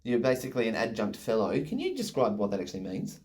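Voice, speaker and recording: A man's voice: fairly low pitch, huge pitch swings, fast talker, darkish tone. An enthusiastic man interviewing a guest over a video call, full of effusive praise.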